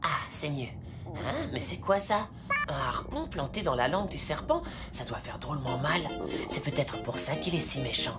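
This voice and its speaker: A plucky little cartoon boy hero, inquisitive and expressive. The voice sits fairly low and moves about freely in pitch. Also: moderate pace, loud music behind the voice. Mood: curious, determined. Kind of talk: French children's cartoon acting.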